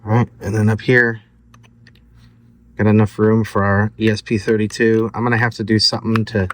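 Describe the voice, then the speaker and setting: A man's voice: fairly low pitch, flat delivery, normal speed, a little hiss or hum. A man casually walking through a DIY electronics project, thinking aloud in an offhand, loosely phrased way. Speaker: electronics hobbyist host.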